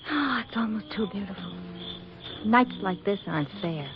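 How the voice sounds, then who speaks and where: A breezy, independent career woman played by an actress in a 1940s radio drama, trading flippant wisecracks with a romantic streak underneath. Her voice sits at a middle pitch, and the old recording is dull with light noise. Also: expressive, normal speed, lightly acted. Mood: wry, flirtatious.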